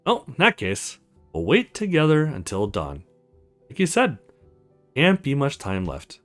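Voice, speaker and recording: A man's voice: mid-range voice, huge pitch swings, measured pace, a bit bright, faint music behind. A man voicing an earnest, protective young male hero in a visual novel game. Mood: gently teasing.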